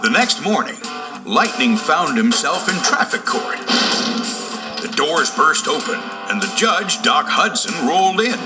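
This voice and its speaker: Male children's read-along narrator: expressive, a touch high, with loud music bed. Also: measured pace. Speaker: storybook narrator.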